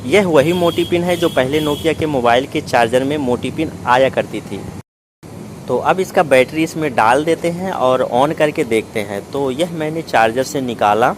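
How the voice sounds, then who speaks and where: A man presents a product review with a mid-pitched voice over faint background noise. He explains each part methodically, moving step by step as in an unboxing video. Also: moderate pace. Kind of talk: step-by-step unboxing narration in Hindi.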